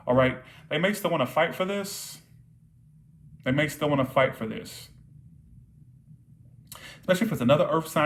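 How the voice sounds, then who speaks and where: A man reading tarot cards live for viewers, repeating his points and checking in often, his voice starting to tire. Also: on the high side. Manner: repetitive, checks in with frequent "all right?". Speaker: tarot reader.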